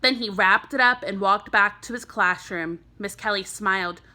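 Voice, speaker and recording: A woman's voice: medium pitch, moderate pace, bright, forceful tone. A woman teacher narrating a children's chapter book expressively for young pupils. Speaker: teacher reading aloud to first graders.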